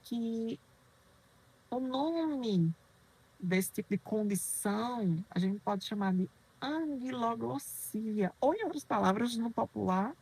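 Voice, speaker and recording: Soft-voiced woman lecturing on dentistry. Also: mid-range voice, breathy edge, low-quality recording. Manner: didactic, poses questions and answers them, frequent "né?". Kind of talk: explanatory lecture.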